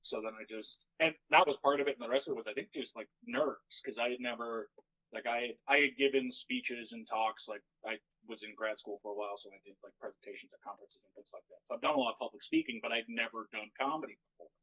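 Man, stand-up comedian, dry deadpan delivery, monotone, bright voice. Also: mid-range voice, quick pace, lo-fi sound. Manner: deadpan, wry storytelling. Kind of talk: casual podcast chat.

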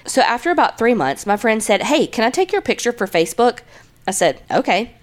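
A woman's voice: a little high, fairly quick. A woman co-hosting a podcast with a congested, stuffy nose, chatting bluntly with plenty of swearing and reading listener stories aloud. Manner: blunt, swears freely, lively storytelling. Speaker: podcast co-host.

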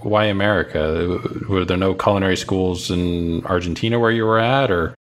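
A man with a low-pitched voice asks casual interview questions. He speaks conversationally, with faint ambient noise behind him. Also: fairly even intonation, normal speed.

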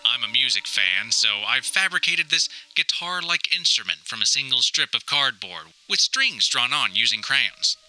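A man's voice: mid-range voice, big pitch swings, moderate pace, few pauses, pushed and bright, dry recording, rough recording. A man playing a melodramatic grown son trapped in a house, reading his survival audio diary in grandiose, theatrical, formal phrasing. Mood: earnest, instructive. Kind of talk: scripted audio diary monologue, mock-survivalist narration.

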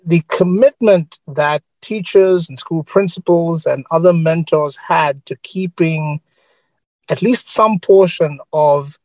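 A man's voice: on the high side, no echo, low-quality recording. Earnest Sri man, dry close mic. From Sri Lanka. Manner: earnest, keeps adding a checking "right?". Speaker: media executive guest. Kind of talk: earnest panel talk.

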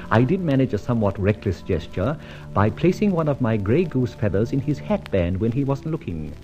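An actor playing a conceited, teasing gentleman adventurer in a mid-century radio drama, suave and mock-innocent. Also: mid-range voice, big pitch swings, moderate pace, soft background music, natural voice. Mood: smug, narrating. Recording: dull old radio recording.

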